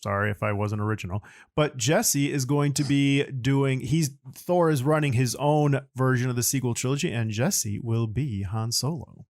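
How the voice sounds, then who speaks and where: A man's voice: mid-range voice, moderate pace, no room sound, clean studio sound. A man hosting a podcast, interviewing guests in a casual conversational style. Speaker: podcast host.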